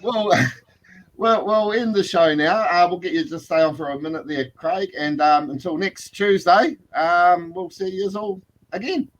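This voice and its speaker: A man's voice: high voice, unhurried, muffled recording. A man, co-hosting a casual podcast and asking questions in an upbeat way. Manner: upbeat, asks questions with fillers. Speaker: podcast co-host.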